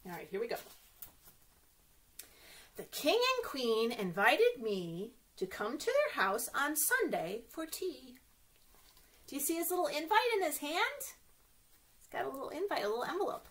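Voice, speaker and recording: A woman with a high, bright voice leads a story time for small children. Her delivery is very lively and sing-song, swinging widely in pitch. She talks directly to the kids and often turns to them with questions. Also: normal speed, pauses often. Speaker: children's librarian reading stories to kids. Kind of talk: read-aloud story time for young children.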